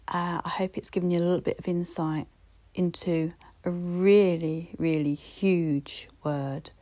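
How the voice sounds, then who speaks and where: A woman with a British accent giving a reflective solo podcast talk, gently encouraging and speaking straight to the listener. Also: fairly low pitch. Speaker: podcast host.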